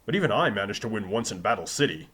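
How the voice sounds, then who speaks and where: A man's voice: on the low side, big pitch swings, fast, a bit bright, breathy edge, exaggerated voice. A man voicing a stern, condescending judge in a story reading, pompous and impatient with frivolity. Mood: stern, scornful. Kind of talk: fan fiction reading with character voices.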